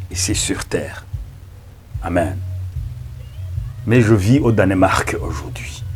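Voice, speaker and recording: A middle-aged Rwandan-born pastor whose first language is Kinyarwanda, praying and preaching slowly and solemnly, with frequent amens. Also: male voice, lowish voice. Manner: slow, solemn, with frequent amens and hushed thanks. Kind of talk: prayer and sermon introduction.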